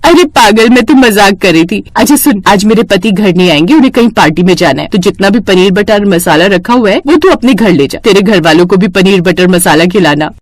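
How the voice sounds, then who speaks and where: A woman's voice: mid-range voice, animated, a little fast, hardly any pauses, dry recording, natural voice. A woman voicing a breezy, well-off young housewife in a cartoon story, chatty and bossy but friendly. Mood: teasing, pleased. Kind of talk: Hindi cartoon story dialogue.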